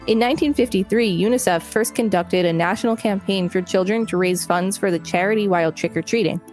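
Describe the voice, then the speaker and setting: A woman's voice: mid-range voice, brisk, darkish tone, faint music behind. A woman co-hosting a podcast, reading an encyclopedia article aloud and adding casual commentary. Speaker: podcast co-host.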